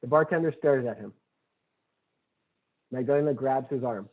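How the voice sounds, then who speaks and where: A man's voice: medium pitch, normal speed. A man reading screenplay stage directions as scripted narration at a table read. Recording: tinny phone-like audio.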